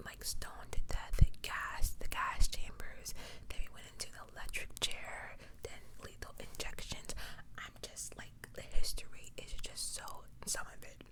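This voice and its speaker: Whispering woman, true crime ASMR. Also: unhurried. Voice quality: breathy, unvoiced whisper. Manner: conversational, rambling asides. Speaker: ASMR host.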